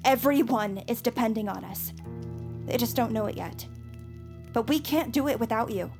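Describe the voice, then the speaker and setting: A woman playing a nerdy, earnest, excitable young superfan in an audio drama, rambling through her explanations. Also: fairly high pitch, moderate pace, music in the background, close to an everyday voice. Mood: urgent, pleading. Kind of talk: scripted audio drama acting.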